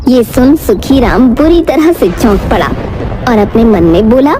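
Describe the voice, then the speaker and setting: A woman's voice: fairly high pitch, very animated, measured pace, background noise. A woman storyteller reading scripted narration for a moral tale.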